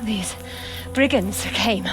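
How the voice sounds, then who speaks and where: A woman's voice: medium pitch, slow pace, over music, lightly acted. An actress playing a terrified young village woman in a video game, pleading breathlessly for help. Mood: frightened, pleading. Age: young adult. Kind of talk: video game cutscene acting.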